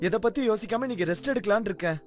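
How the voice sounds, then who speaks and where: A woman's voice: medium pitch, expressive, very fast, soft background music, lightly acted. Mild, affectionate cartoon dad voiced by a woman. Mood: sheepish, weary. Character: mild, affectionate, apologetic father. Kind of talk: dubbed cartoon acting.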